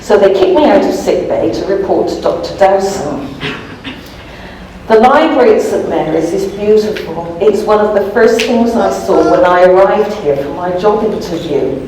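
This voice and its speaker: A woman's voice: mid-range voice, normal speed, warm tone. A woman author giving a live comic reading from a novel with a wry, amused manner. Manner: wry, amused, often chuckling. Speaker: author reading aloud from their own novel. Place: indoor live event room. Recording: echoey room, distant mic, background noise.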